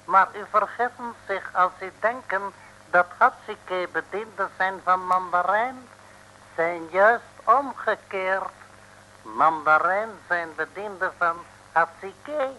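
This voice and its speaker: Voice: medium pitch, takes it slowly, sharp tone, a little hiss or hum, rough recording. A performer in an old radio play voicing a sly Chinese servant villain who gloats in pidgin phrases. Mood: gloating. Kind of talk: 1960s radio play acting.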